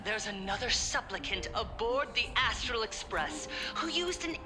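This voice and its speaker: A woman's voice: on the high side, very animated, moderate pace. An actress voicing a scheming, persuasive female broadcaster in a game. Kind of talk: voiced game dialogue. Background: game music.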